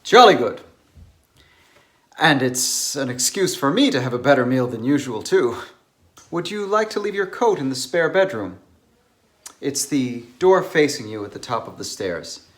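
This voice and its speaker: A man acts out a scholarly bachelor host in an audio drama of a novel. He is courteous and a little hesitant, with a lively, varied pitch that rises and falls freely. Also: a little slow. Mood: genial, polite. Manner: courteous, slightly awkward, hesitant.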